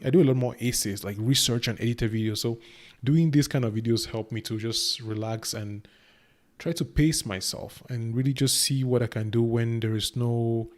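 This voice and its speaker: Cameroonian man musing in a casual talk. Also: medium pitch. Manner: thoughtful, rambling with restarts. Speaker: reaction video host. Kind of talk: casual reaction commentary.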